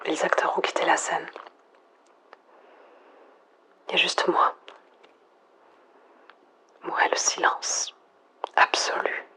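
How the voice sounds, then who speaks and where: A woman playing a lonely, frightened last survivor, murmuring a halting, introspective audio log in a breathy, husky near-whisper. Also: fairly low pitch, flat delivery, sharp tone, exaggerated voice, poor audio. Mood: wistful, resigned. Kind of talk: hushed monologue, analog horror audio log.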